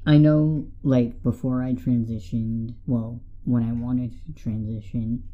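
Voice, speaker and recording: A young man co-hosting a casual podcast, talking haltingly with many fillers and restarts. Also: mid-range voice, level tone. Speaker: podcast co-host. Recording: tinny phone-like sound, faint music.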